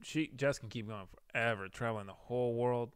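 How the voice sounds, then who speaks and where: A man's voice: medium pitch, fairly quick, smooth, no echo, clean studio sound. A young man originally from Venezuela, a pilot, chatting in an easygoing, hesitant way with many fillers in a couple's Q&A. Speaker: airline pilot, boyfriend guest. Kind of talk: casual couple Q&A chat.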